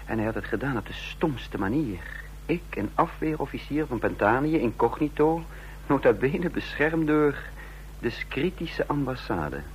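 An actor playing a scheming, self-assured undercover officer who narrates a radio drama in a clipped, deliberate, commanding way. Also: male voice, medium pitch, expressive, natural voice. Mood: wry, confiding. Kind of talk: Dutch radio drama acting with first-person narration. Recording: noisy radio drama recording with hum.

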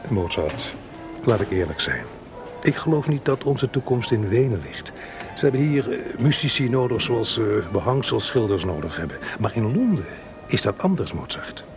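An actor playing a seasoned, wry composer in a Dutch radio play, speaking frankly and with measured, gently probing delivery. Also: male voice, mid-range voice, big pitch swings, over music, close to an everyday voice. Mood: frank, resolved.

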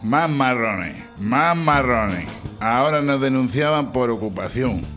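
Un hombre con acento andaluz, miembro de un grupo, que cuenta sus peripecias en tono coloquial y desenfadado. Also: male voice, medium pitch, expressive, takes it slowly, a bit bright, soft background music. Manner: laid-back, slangy storytelling. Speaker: band member recounting events. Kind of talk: documentary-style testimony.

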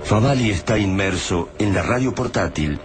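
A man's voice: on the low side, lively intonation, moderate pace. A man with an Argentine accent reading scripted narration for a radio drama. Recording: music bed behind narration.

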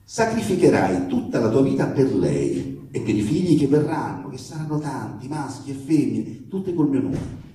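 Far from the microphone in a very reverberant room, a man performs a protective father with a very dark, mellow voice. He delivers a comic stage monologue to his daughter's boyfriend, halting and emphatic, tender one moment and sardonic the next. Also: medium pitch, very animated, natural voice. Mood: wry, sardonic. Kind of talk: theatrical comic reading of a letter monologue. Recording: very echoey room, distant microphone.

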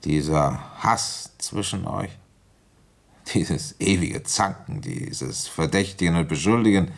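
Very deep, gravelly, deliberate police inspector. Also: male voice, full character voice. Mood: accusing, ironic. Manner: deliberate, reflective, halting while thinking aloud. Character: weary, shrewd male police inspector, brooding and deliberate. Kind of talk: German radio play acting, first-person narration and dialogue.

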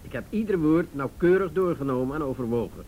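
A man's voice: a little high, animated, fairly quick, warm tone, natural voice. Guy acting an older police boss in a radio play, chummy n condescending, voice goes all over, bit of background noise. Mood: patronising. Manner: avuncular, hesitant, condescending. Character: jovial, patronising older male police chief, evasive and self-indulgent. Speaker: police chief.

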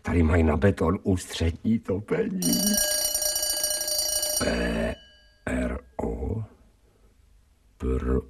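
A man's voice: very deep voice, sing-song, pauses often, far from the mic, very noisy, full character voice. An actor playing a stray dog with human thoughts in a radio drama, servile to his master and slangy and cynical in his asides. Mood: sardonic musing. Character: fawning, streetwise, sardonic stray male dog with human thoughts.

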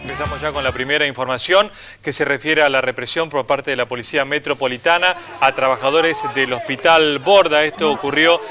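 A man's voice: mid-range voice, fairly even intonation, a little fast, music in the background. A man with an Argentine Spanish accent presenting a television news bulletin.

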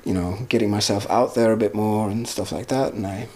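A man's voice: fairly low pitch, fairly even intonation, a little fast, a little mellow. Reflective man, Swedish native speaker. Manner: reflective, searching for words. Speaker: musician guest. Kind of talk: casual interview answers.

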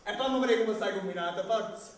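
An extremely high male voice with a thick Neapolitan accent blusters across a very echoey stage, a little far from the mic. It is an actor as a scheming, brash servant from commedia dell'arte, exclaiming theatrically. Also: fast, close to an everyday voice. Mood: cunning. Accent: southern Italian, Neapolitan. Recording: very echoey stage, distant microphone.

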